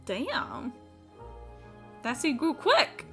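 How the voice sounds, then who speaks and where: A woman streaming a game live, commenting casually and reading dialogue aloud in a playful, expressive, jokey way. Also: sits high, very slow, soft background music.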